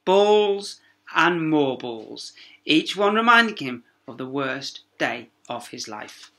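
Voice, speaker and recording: A man's voice: on the high side, big pitch swings, sharp tone, slight background noise. A man reading a children's story aloud like a primary teacher, dramatic and emphatic. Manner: dramatic, with emphatic pauses and sound words.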